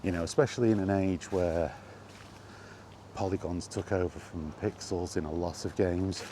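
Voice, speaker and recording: A middle-aged man in a casual, rambling vlog chat, speaking conversationally with halting pauses while out walking. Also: low voice, steady tone. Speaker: gaming vlogger. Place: outdoors, walking. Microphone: microphone with wind guard. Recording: windy outdoor recording, background noise.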